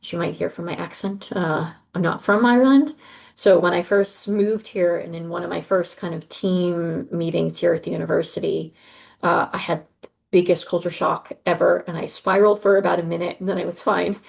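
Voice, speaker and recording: A middle-aged woman speaks on a video call in a lowish voice with a gravelly edge. She briefs students in an earnest, reassuring way, pausing often on ums and uhs. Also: fairly even intonation, a little mellow. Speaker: study abroad advisor.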